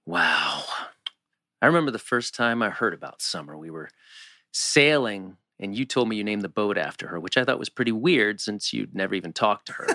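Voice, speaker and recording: A man's voice: fairly low pitch, expressive, normal speed, sharp tone, no room sound, clean studio sound. A man reading a laconic, skeptical teenage boy, the understated sensible friend, at a TV drama table read. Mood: dry, skeptical.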